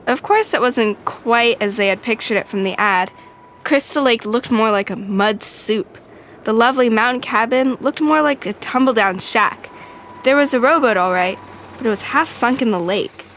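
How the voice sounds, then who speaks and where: A woman's voice: on the high side, moderate pace, fairly bright tone, a little hiss or hum. A woman narrating a children's story from a script.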